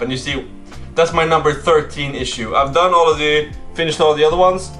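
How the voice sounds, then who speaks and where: A man's voice: a little high, fairly bright tone. A man talking informally and slangily, thinking aloud as he hosts a casual video diary. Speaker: host of a startup coding video diary. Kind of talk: casual vlog talk. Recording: echoey room, faint music behind.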